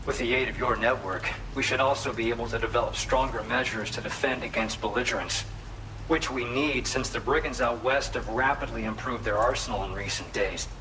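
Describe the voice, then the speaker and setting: A man's voice: medium pitch, fairly quick, far from the mic, noisy background, close to an everyday voice. A man playing an earnest, friendly researcher in a video game, explaining things in a chatty way. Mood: informative. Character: earnest male researcher at a remote observatory, friendly.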